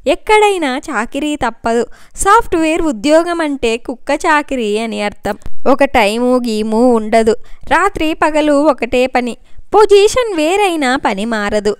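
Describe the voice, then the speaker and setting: A woman's voice: sits high, lively intonation, a little slow, clear, resonant tone, no room sound, studio quality. A woman voicing an overworked, frustrated wife in her forties, complaining wearily in an audio story. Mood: dejected. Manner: weary complaining.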